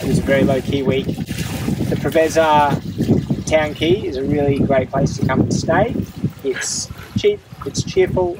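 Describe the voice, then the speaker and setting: A young man talks easily to a deck-mounted camera while sailing, with plenty of ums along the way. He sits a little back from the mic, and loud wind and boat noise run under his voice. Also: medium pitch, far from the mic. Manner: relaxed, chatty, with fillers. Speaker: sailing vlogger. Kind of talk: casual to-camera chat. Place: sailboat cockpit at sea, outdoors.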